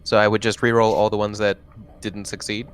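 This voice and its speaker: A man's voice: lowish voice, flat delivery, brisk, smooth. A man chatting casually as a player in a tabletop game, offhand and given to swearing. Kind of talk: casual chat.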